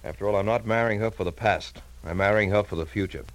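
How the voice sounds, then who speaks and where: Earnest, proper bridegroom played by a man, low-pitched and hurried, formal manners, vintage radio play. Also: natural voice. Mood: tender resolve. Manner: polite, formal, slightly halting. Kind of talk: old-time radio drama acting.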